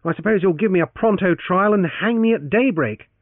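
A man voicing a wry young gentleman adventurer who banters mockingly, heard through a tinny, dry narrowband recording. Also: high-pitched, brisk, no room sound, voice pushed up. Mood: sarcastic.

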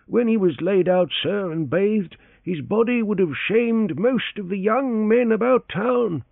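A man's voice: high voice, expressive, unhurried, creaky, no echo, pitched above the performer's own voice, heavily acted. A man voicing an elderly male valet who is humble and deferential, stammering and eager to please. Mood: reverent. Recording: thin phone-like sound, dry.

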